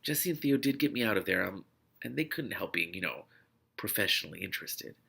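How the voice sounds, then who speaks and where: Man playing shaken young woman, storybook heroine, weary, placating, hesitant, creaky voice. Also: sits low, expressive, brisk, sharp tone, heavily acted. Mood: weary, regretful.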